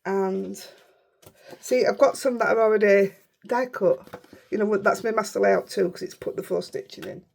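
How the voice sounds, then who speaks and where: A middle-aged woman with a Yorkshire accent, hoarse and unwell, chatting through a casual crafting tutorial in a croaky voice and rambling as she goes. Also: mid-range voice, steady tone, normal speed. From Yorkshire, England. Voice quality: croaky, rough voice. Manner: chatty, rambling, self-interrupting. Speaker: craft channel host.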